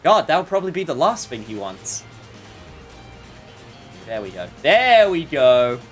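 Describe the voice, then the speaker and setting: A man's voice: a little high, very animated, measured pace, faint music behind. A man livestreaming a video game with casual chat and wry, joking, sometimes sweary commentary.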